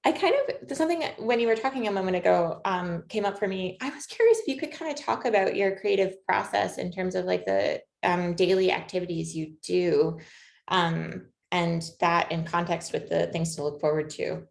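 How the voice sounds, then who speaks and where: A woman's voice: lowish voice, moderate pace, vocal fry. A woman in her late thirties interviewing a guest, thinking aloud and searching for words as she asks reflective questions. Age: late 30s. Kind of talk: reflective conversation.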